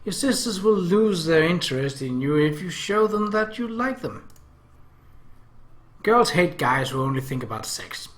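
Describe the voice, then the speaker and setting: A man's voice: a little high, very animated, unhurried, a bit bright. A man voicing a blunt, cocky teenage classmate handing out insistent advice in a visual novel.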